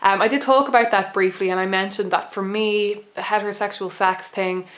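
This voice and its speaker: A young Irish woman in her twenties, bilingual, talking to camera in a casual, reflective way with many pauses, restarts and small laughs. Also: medium pitch, fairly even intonation. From Ireland. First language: bilingual Irish speaker. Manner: hesitant, many ums and restarts, soft laughs. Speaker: pagan video blogger.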